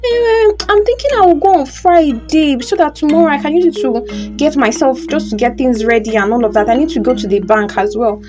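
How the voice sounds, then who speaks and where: A woman's voice: sits high, fairly quick, over music, lightly acted. A woman playing a deferential, pleading young mother in an audio drama, with a Nigerian accent. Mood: hopeful. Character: distressed, grateful young mother, pleading daughter.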